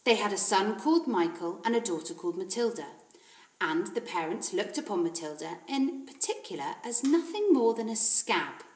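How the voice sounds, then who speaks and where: A woman, a teacher reading a children's story aloud in an expressive narrating style. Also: mid-range voice, moderate pace. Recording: roomy echo.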